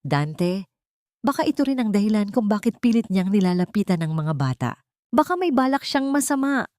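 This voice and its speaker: A woman's voice: mid-range voice, sing-song, moderate pace, a little mellow, no echo, voice pushed up, lightly acted. A woman narrator plays a scheming woman of the household in an audiobook drama. She wears a mask of piety over open venom, leaning hard on her words with a hissing, menacing edge. The sound is dry and close. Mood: accusing, scornful. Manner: pointed, hissing emphasis.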